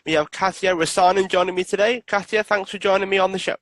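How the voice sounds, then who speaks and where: Guy hosting a podcast, fairly high voice, upbeat, dry close mic. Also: steady tone, quick pace, a bit bright, dry recording. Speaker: podcast host. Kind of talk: podcast interview, reading a guest introduction.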